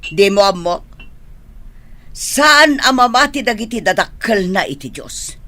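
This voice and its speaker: Bright-voiced, scolding Filipino mother played by a woman. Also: medium pitch, expressive, unhurried. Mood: disapproving. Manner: scolding, emphatic. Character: strict, disapproving, churchgoing village mother. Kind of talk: Ilocano comedy radio drama acting.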